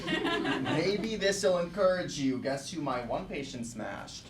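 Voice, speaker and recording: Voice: mid-range voice, very animated, slow. A performer playing a breezy doctor in his early seventies who thinks he is hip, slangy and blunt, in a comedy table read. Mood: gossipy, blunt. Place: theater stage, live show. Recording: echoey live stage, faint noise.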